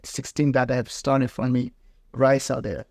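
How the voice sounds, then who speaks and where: A man's voice: mid-range voice, fairly even intonation, a little mellow, dry recording. Earnest man, dry close sound. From Mozambique. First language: Ndau. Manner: halting, earnest, pauses to search for words. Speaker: guest, farmer and peace builder. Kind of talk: casual interview talk.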